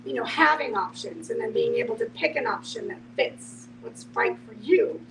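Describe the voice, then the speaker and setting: A woman with a fairly high voice comments reflectively in a group discussion. She is affirming and measured, heard at a distance from the mic with plenty of room echo. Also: creaky. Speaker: discussion participant. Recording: echoey, distant mic, noisy hum.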